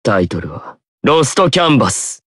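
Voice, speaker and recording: A man's voice: medium pitch, big pitch swings, fairly bright tone, breathy edge, no echo, clean studio sound. A man voicing a young god of the underworld in an anime battle game, cold, haughty and grandly disdainful. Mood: cold, contemptuous. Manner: slow, grand, disdainful pronouncements.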